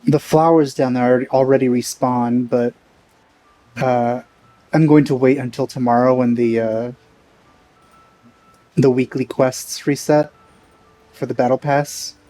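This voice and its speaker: A man's voice: mid-range voice, fairly even intonation, unhurried, pauses often, dark tone, soft background music, low-quality recording. A man giving casual let's play commentary with dry, teasing asides.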